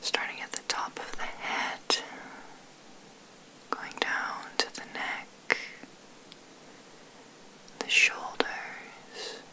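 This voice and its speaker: A woman guiding an ASMR sleep meditation in a breathy whisper, soothing and gentle, unhurried with long pauses. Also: poor audio. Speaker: meditation guide, ASMR host.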